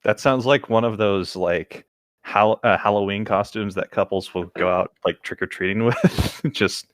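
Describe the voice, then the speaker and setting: A man's voice: on the low side, moderate pace, dry recording, clean studio sound. A man chatting casually among friends.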